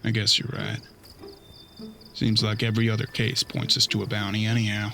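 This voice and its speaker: A man's voice: fairly low pitch, little pitch movement, normal speed, creaky, sharp tone, soft background music. A man playing an earnest, thoughtful bounty hunter's apprentice in a western audio drama, with folksy frontier talk. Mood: reflective.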